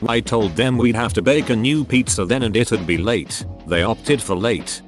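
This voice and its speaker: Low, lively male story narrator. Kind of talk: reading forum posts aloud. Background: soft background music.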